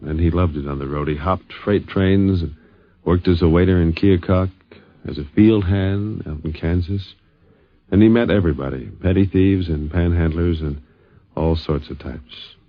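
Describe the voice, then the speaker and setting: A man's voice: low-pitched, moderate pace. An American man hosting a 1950s radio documentary, narrating as a conversational storyteller. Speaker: radio host. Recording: dull 1950s radio recording.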